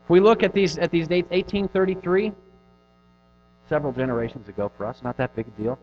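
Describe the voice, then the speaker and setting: A man in his thirties preaches in an earnest, conversational style, his voice sitting a little high for a man. He presses hard on some phrases and drops low and quiet on others. The recording is dull and lo-fi, with soft music underneath. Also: a little fast. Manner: earnest, conversational preaching with rising and falling emphasis. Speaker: pastor. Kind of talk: sermon.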